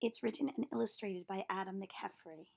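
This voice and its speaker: A woman's voice: mid-range voice, moderate pace, vocal fry. A woman reading a children's storybook aloud, as a teacher would.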